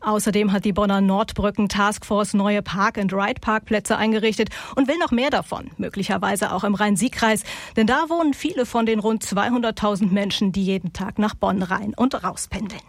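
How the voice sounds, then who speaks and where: A woman presenting regional news on the radio in a measured, scripted studio read. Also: mid-range voice, no room sound. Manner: measured, even delivery. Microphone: studio microphone.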